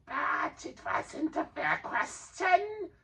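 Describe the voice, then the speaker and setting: A wretched old cave creature that shrieks in a very high, swooping voice, dragging out every wail and hiss. The voice is sharp and bright and sits a little back from the microphone, as in a storybook reading. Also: male voice, expressive, far from the mic, heavily acted. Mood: whining. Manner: wailing, drawn-out, hissing. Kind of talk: audiobook reading.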